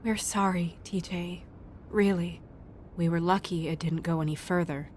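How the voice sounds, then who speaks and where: A woman playing a polite, composed young official in a video game, courteous, tactful and measured. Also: fairly low pitch, steady tone, darkish tone, slight background noise, natural voice. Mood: sympathetic, tactful. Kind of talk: dramatic video game dialogue.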